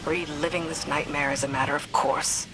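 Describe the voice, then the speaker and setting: An actress playing a stern, loyal military commander in a video game, giving formal, grave briefings. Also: female voice, deep voice, fairly even intonation, normal speed, background noise, natural voice. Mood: bitter, dutiful.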